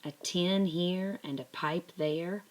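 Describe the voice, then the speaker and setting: Low, slow woman reading a storybook. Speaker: storyteller reading a picture book aloud. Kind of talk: scripted children's story narration.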